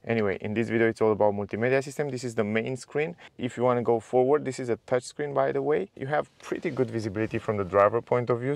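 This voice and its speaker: A man's voice: lowish voice, dry recording. A man walking viewers through a car's dashboard and screen in a casual, chatty way, speaking as a with simple phrasing. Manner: chatty, addresses viewers as guys, simple non-native phrasing. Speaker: car reviewer. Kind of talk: casual walkthrough to camera. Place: inside a parked car.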